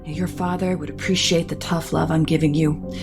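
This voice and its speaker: A woman's voice: sits low, level tone, moderate pace, over music. A middle-aged woman playing an imperious, disapproving wealthy mother in an audio soap opera, with a formal, scolding manner. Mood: reproachful. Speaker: actor.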